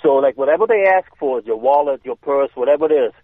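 A man's voice: medium pitch, lively intonation, quick pace, dry recording. A man with a German accent, a self-defense instructor, explaining in casual chat with hesitant restarts. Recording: tinny phone line.

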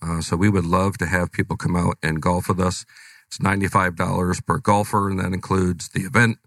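A man with a cold hosts a radio show, his low voice rough and gravelly. He talks in a relaxed, rambling way with lots of fillers. The studio sound is dry and close. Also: brisk, no echo. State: has a cold. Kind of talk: casual radio talk.

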